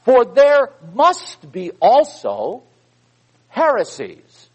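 A man preaching a sermon, reading scripture with loud emphasis and dropping into quiet asides. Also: very high voice, wildly swooping pitch, very slow, breathy edge, rough recording.

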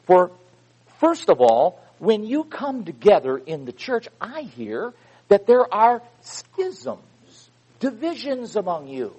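A man preaching a sermon, reading scripture with loud emphasis and dropping into quiet asides. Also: high-pitched, huge pitch swings, slow, slightly rough, poor audio.

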